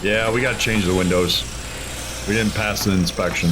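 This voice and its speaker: An actor playing a pushy, frustrated construction boss, with engine noise behind. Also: male voice, on the low side, fairly even intonation, moderate pace, natural voice. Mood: exasperated. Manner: pushy, exasperated.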